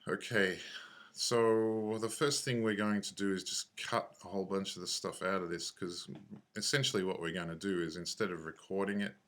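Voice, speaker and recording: A man with a low voice narrates a screencast tutorial in an offhand way. His delivery stays very flat, and he pauses, hesitates and corrects himself as he thinks through each step. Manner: halting, thinking aloud, self-correcting. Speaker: software tutorial instructor.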